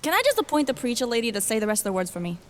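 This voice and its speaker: A woman's voice: fairly high pitch, very animated, rapid-fire, a little hiss or hum. A woman playing a brash, irreverent thief turned reluctant queen in an audio drama, talking bluntly and casually. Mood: flustered. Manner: casual, blunt, sardonic modern talk.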